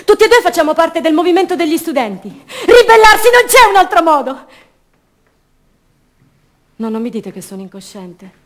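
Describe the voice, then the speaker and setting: An actress playing a young, idealistic student activist held prisoner, delivering an intense, passionate theatrical monologue for radio drama. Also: female voice, very high-pitched, very animated, moderate pace, pauses often. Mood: defiant, fervent. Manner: intense, swinging from hushed repetition to fervent declaiming.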